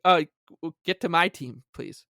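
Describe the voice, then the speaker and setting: A man's voice: fairly high pitch, measured pace, vocal fry, sharp tone, no echo, clean studio sound. A man co-hosting a sports podcast, talking casually and reading aloud with wry, sarcastic emphasis. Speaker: podcast co-host.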